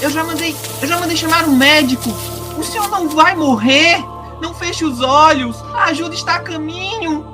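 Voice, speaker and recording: A woman's voice: very high voice, moderate pace, music in the background. A woman playing the anxious young son of a wounded hero, urgent and tender, in an audio drama. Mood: anxious reassurance.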